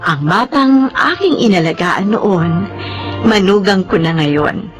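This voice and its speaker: A woman's voice: medium pitch, expressive, slow, soft background music. An actress playing a loving, sentimental middle-aged mother in a Filipino radio drama, with a Filipino accent. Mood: reflective narration. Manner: tender, sentimental.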